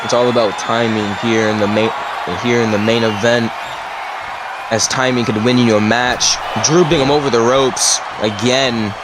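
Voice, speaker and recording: A man giving wrestling color commentary, moving between steady analysis and shouted disbelief. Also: mid-range voice, moderate pace. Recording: loud crowd and noise behind.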